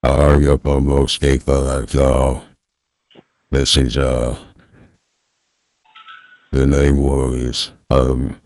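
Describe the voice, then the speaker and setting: Very deep, mumbling backwoods old-timer. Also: male voice, warm tone, dry recording, studio quality, heavily acted. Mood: polite, muddled. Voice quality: mumbled, slurred, garbled through a voice changer. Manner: rambling, halting, repeats words. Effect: pitch-lowering voice changer. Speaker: scam baiter. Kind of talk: prank phone call in character.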